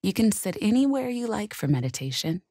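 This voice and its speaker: A woman leading a guided meditation in a calm, gentle, soothing and measured way. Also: medium pitch, dry recording, clean studio sound.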